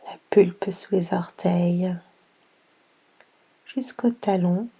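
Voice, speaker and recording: A woman leads a guided meditation very slowly, leaving long pauses between phrases. Her voice is soft and half-whispered, on the low side and mellow, with a lot of creak at the edges. She gives each instruction gently and without hurry. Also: fairly even intonation. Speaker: yoga nidra guide.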